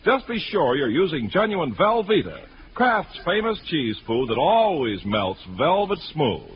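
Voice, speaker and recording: A man's voice: fairly high pitch, sing-song, unhurried, highs cut off. An American man reading scripted 1940s radio announcements as a polished salesman. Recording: noisy, band-limited 1940s radio broadcast.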